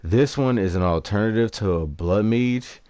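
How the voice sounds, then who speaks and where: A man giving casual, unscripted gameplay commentary, haltingly thinking aloud as he explains things. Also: lowish voice, warm tone, dry recording. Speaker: gamer presenting a character build.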